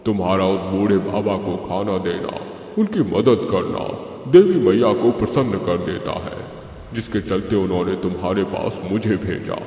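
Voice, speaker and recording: A man voicing a slow, solemn, kindly magical talking mango tree in a cartoon moral story, with a gravelly, husky voice. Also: sits low, expressive, warm tone. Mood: solemn, reassuring. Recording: long hall reverb, music behind.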